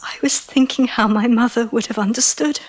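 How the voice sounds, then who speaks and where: Casting: actress as eager young sweetheart, audio drama. Hushed and breathy, gushing, coaxing, soft-hearted. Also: female voice, fairly high pitch, fairly even intonation, brisk, natural voice. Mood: concerned, tender. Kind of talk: intimate audio drama acting.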